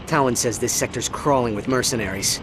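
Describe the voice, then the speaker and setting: An actor playing a young, impatient alien hero pilot in a video game, practical and exasperated. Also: male voice, mid-range voice, brisk, background noise, lightly acted. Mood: wary. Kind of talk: video game cutscene acting. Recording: loud music behind the voice.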